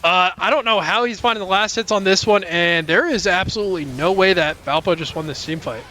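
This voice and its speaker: A young man casting a live college esports match, calling plays quickly, with many fillers and sudden jumps in pitch at big plays. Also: pushed and bright, slight background noise. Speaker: esports caster. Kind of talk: live play-by-play commentary. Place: college esports broadcast booth.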